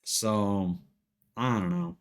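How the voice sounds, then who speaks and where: Low-voiced man chatting through a game stream. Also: steady tone, drawn-out words. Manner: musing aloud, conversational. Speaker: live streamer playing a video game. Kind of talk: casual livestream game commentary. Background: music behind the voice.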